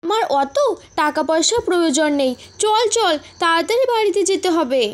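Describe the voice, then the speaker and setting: Woman as a high, lively, kind young girl. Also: moderate pace. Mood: anxious, insistent. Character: kind, generous young girl who feeds birds.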